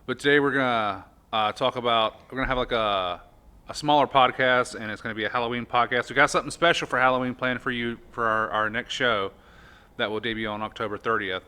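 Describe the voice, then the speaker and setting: A man's voice: on the low side, bright, forceful tone, smooth. An American man hosting a podcast in a conversational style. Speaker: podcast host.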